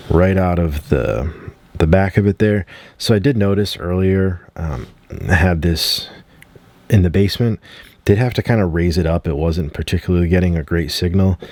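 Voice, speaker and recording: A man giving a casual product review at home, loose and relaxed, often clearing his throat and using fillers. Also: sits low, moderate pace, no echo.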